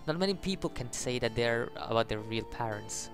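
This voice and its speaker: Casting: male gaming host, mid-pitched, casual and jokey, narrating a playthrough over faint music. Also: expressive, normal speed. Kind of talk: casual playthrough commentary mixed with reading game text aloud. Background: video game music.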